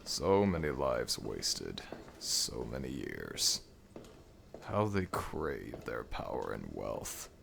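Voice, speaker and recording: A man's voice: rumbling low, huge pitch swings, takes it slowly, vocal fry, fairly bright tone, a little hiss or hum, pitched below the performer's own voice, full character voice. A man voicing an ancient, weary and grumbling jackal god of the dead in a scripted audio roleplay, with a dry, imperious manner. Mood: weary, musing.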